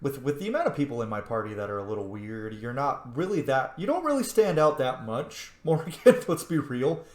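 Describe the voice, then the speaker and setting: Casting: male game streamer, lively swinging pitch, casual and jokey reactions, recorded in an echoey room. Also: fairly quick, few pauses. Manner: informal, joking, talks back to characters. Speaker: game streamer playing a roleplay. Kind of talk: casual reactive gameplay commentary.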